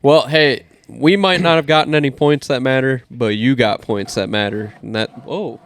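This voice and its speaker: A man's voice: medium pitch, normal speed. A man co-hosting a casual podcast, chatting in a loose, rambling way. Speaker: podcast co-host. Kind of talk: casual chat.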